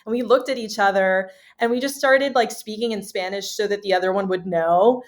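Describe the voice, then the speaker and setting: A woman from Miami tells stories as a podcast guest, lively and animated, often breaking into laughter. Her voice sits at an ordinary middle pitch and swings freely as she talks. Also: fairly quick. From Miami, USA. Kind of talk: casual storytelling chat.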